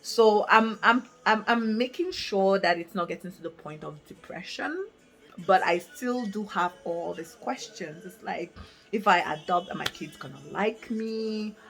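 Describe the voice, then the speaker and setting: A woman's voice: mid-range voice, unhurried, fairly bright tone, faint music behind, poor audio. A woman in her late twenties from Africa, talking candidly to camera in a casual vlog, thinking aloud with lots of fillers and restarts. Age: 20s. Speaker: vlogger. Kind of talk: casual reflective talk to camera. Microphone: camera mic, reading from notes.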